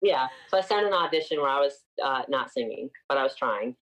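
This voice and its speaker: A man's voice: mid-range voice, expressive, fairly quick, lo-fi sound, crowd noise. A man in his twenties from upstate New York, a singer chatting casually as an interview guest and filling his talk with frequent "like"s.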